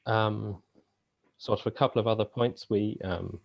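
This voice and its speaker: A man speaking as a party candidate at a hustings, in a halting way with many pauses and repeated words. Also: on the low side, steady tone, creaky, dull audio. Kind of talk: hustings speech.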